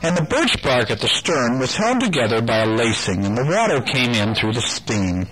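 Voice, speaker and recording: A man's voice: medium pitch, sing-song, moderate pace, low-quality recording. Older man reading a bedtime story. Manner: gentle, chatty, asks the child questions.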